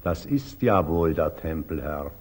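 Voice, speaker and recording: An actor playing a pompous, unctuous elderly church patriarch, preaching and condescending in 1940s German radio drama style. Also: male voice, extremely low pitch, animated, a little slow, a little mellow, heavily acted. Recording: old muffled 1940s radio recording.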